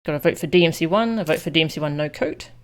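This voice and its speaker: A woman's voice: low voice, fast. A woman chatting casually with viewers as she streams a video game. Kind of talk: casual livestream chat with viewers.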